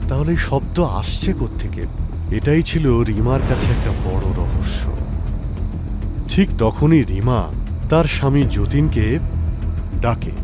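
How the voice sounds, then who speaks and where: A man's voice: medium pitch, expressive, a little slow, pauses often. A man narrating a scripted horror story in a dramatic storytelling style. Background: music.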